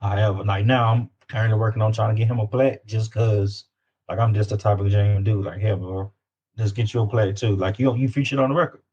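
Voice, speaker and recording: Male interview guest, low voice, Southern US accent, loose slangy delivery that circles back over phrases. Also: fairly even intonation, fairly quick, a little mellow, husky, lo-fi sound. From Louisiana. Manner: informal slangy, repeats phrases. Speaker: independent rapper guest. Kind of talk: casual interview chat.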